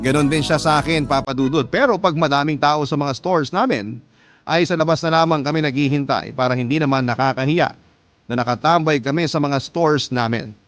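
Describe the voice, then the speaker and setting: Actor as young male fast-food worker, radio drama confessional, measured and sincere, music bed prominent. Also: on the high side, lightly acted. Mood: earnest. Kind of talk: scripted first-person radio drama narration.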